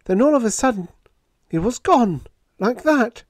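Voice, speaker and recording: A fretful young woman, frightened and sharp-tongued, her voice pushed well up high and full of worry, as a male narrator puts her on. The delivery swings lively up and down, and the recording is dry and close. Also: unhurried, dry recording, full character voice. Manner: high-pitched, anxious, pleading.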